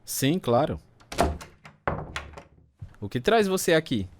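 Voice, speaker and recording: A man's voice: a little high, very animated, slow pace, heavy background noise. A man with a Brazilian accent playing a troubled, earnest reformed alcoholic, narrating and acting in a radio drama. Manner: earnest, confessional. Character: reformed alcoholic ex-prisoner telling his story, troubled but earnest. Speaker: narrator and lead character.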